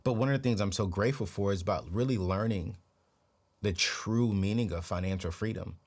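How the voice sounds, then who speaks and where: A man in his late thirties hosting a personal finance show, giving a motivational talk with a preachy, energetic delivery. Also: fairly low pitch, brisk. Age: 30s. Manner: preachy, energetic, repeats phrases. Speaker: podcast host.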